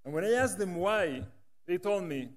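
A man gives a conference speech from the podium. His voice sits high for a man. He tells his story earnestly into the podium microphone of a large hall. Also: lively intonation, moderate pace. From Latin America. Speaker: rabbi, branch director.

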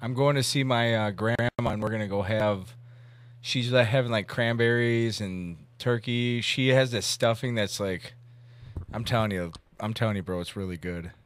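A man in his thirties hosting a comedy podcast, chatting casually in a rambling, halting way with crude humor. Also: lowish voice, no room sound. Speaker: comedy podcast host making a prank call. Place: indoor room at a desk.

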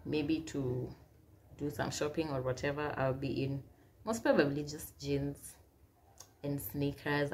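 A young woman with a Kenyan accent speaks in a deep, low voice, reading out questions and answering them in a relaxed, chatty way. Swahili phrases slip into her talk now and then. She sits close to the camera in a small room, and a faint hiss runs under the recording. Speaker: YouTuber. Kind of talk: casual Q&A vlog. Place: small indoor room, seated at a plain wall.

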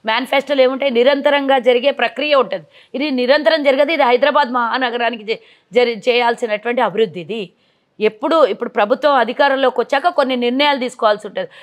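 A woman's voice: sits high, a bit bright. A woman, a party politician giving combative interview answers full of rhetorical questions, recorded outdoors on a lapel mic.

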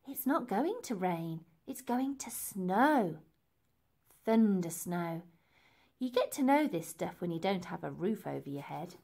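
A woman voicing a bossy, quick-witted young girl in a storybook, speaking in a brisk, emphatic, instructing way. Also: on the low side, very animated, gravelly, a little mellow, heavily acted. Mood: confident, matter-of-fact.

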